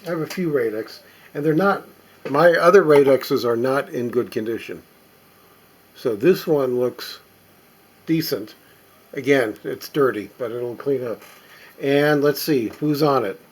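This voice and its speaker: A middle-aged or older man giving casual, unscripted show-and-tell commentary as a collector, halting and musing aloud as he inspects things. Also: medium pitch, breathy edge. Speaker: record collector presenting finds.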